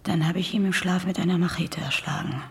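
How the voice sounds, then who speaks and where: An actress playing a traumatised, guilt-ridden wife in a radio drama, speaking haltingly and often sinking into a breathy near-whisper. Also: female voice, lowish voice, steady tone. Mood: numb, confessing. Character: traumatised, guilt-ridden wife confessing to killing her husband. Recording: faint background noise.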